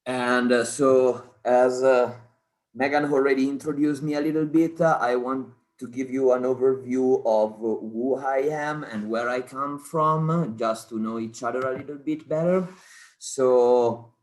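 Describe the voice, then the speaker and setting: A man from Italy with an Italian accent, a research scientist giving an informal presentation in halting, self-deprecating English. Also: mid-range voice, steady tone. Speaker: research scientist, guest speaker.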